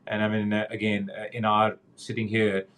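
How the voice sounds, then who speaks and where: Earnest, halting middle-aged man, low voice. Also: completely flat delivery, fairly bright tone. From Pakistan. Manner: halting, many fillers and restarts, earnest. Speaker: guest, banking executive. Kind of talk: conversational interview.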